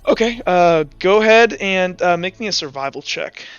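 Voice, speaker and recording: A man with a high, crisp voice casually narrates a tabletop game as game master. The audio is a bit dull, and music plays underneath. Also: animated, normal speed, a bit bright. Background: background music.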